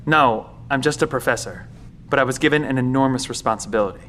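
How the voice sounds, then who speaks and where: A man acting in an audio drama as a weary, secretive professor with a measured, explanatory way of talking. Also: medium pitch, fairly bright tone, a little hiss or hum, lightly acted. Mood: grave, explanatory. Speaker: professor, secret bunker guardian.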